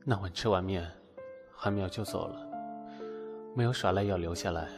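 A man's voice: low voice, normal speed, over music. An actor playing a guarded, coolly polite young man in an amateur audio drama. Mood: wistful narration.

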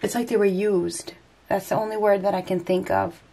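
A woman's voice: medium pitch, fairly even intonation, moderate pace, gravelly, warm tone, slightly rough. A woman with a head cold and stuffy nose, giving an unscripted tarot reading and thinking aloud as she turns the cards. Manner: rambling, hesitant, thinking aloud. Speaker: tarot reader. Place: indoors at a table.

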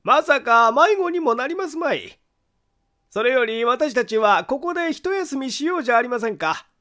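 A man voicing a grave, secretive man who calmly argues a friend's innocence in a polite, deliberate way, in an audiobook mystery story. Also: very high voice, pitched above the performer's own voice, full character voice. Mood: confiding, grave.

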